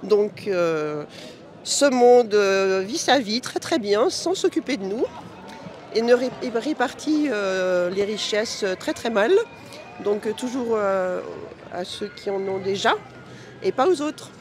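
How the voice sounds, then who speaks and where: A woman's voice: medium pitch, animated, takes it slowly, darkish tone. A woman protester answering a street interview in an outdoor city square, speaking with many hesitant fillers into a handheld mic. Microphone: handheld mic held out to her.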